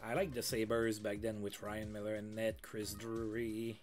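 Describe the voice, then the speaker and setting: A man's voice: on the low side, little pitch movement, measured pace, soft background music. A man streaming a sports management game, reading out game news with casual asides. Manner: chatty, reads game news aloud with asides. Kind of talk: casual let's-play commentary.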